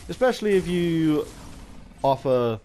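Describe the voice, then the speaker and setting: A man giving an unscripted opinion ramble as a gaming vlogger, halting and often restarting his phrases. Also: fairly high pitch, very animated, mellow, soft background music.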